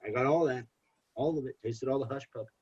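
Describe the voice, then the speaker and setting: A young man with a husky, congested voice tells a rambling story, repeating phrases for emphasis. The recording is dull and lo-fi. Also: medium pitch, fast, darkish tone. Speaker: podcast guest. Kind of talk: casual storytelling. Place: home. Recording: dull lo-fi podcast audio.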